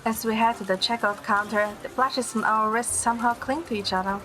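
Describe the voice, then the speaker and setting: A woman playing a gentle young heroine, narrating her romance in the first person as scripted audio drama. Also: a little high, steady tone, a little fast, over music, lightly acted.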